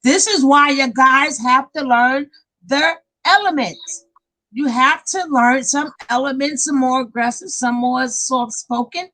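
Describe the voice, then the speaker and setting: A woman hosting a live online panel, talking up a storm with a bright, ringing tone. Her voice sits a little high, and she laughs easily between points. She is heard over a video-call mic. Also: unhurried, low-quality recording. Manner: animated, laughing, talks up a storm. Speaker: host of a live panel stream. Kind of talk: casual live-stream chat.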